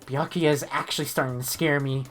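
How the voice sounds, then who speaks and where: A man's voice: medium pitch, fairly even intonation, brisk, a bit bright. A man in a casual game playthrough, reading dialogue and narration aloud and chatting with friends. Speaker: let's player reading character lines aloud.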